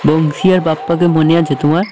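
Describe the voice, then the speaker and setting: A man playing an eager young visitor to a village in an audio story, speaking briskly. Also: a little high, level tone, close to an everyday voice. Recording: noisy ambience behind voice.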